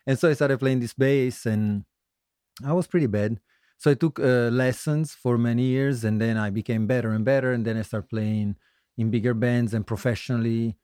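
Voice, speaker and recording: A man's voice: medium pitch, fairly even intonation, dark tone, clean tone, dry recording. Middle-aged Italian man, close dry sound. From Italy. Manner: halting, self-correcting, joking. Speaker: guest musician, singer-songwriter. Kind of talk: casual interview storytelling.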